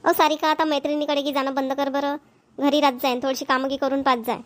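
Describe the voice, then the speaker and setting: A woman's voice: sits high, little pitch movement, fast, close to an everyday voice. A woman voicing a caring, persuasive middle-aged village mother, with a coaxing, motherly manner.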